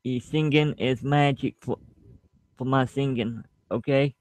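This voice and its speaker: A man's voice: medium pitch, fairly even intonation, a little slow, dry recording. A man chatting casually to online viewers in a hesitant way, repeating himself as he goes. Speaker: amateur singer and fan fiction reader.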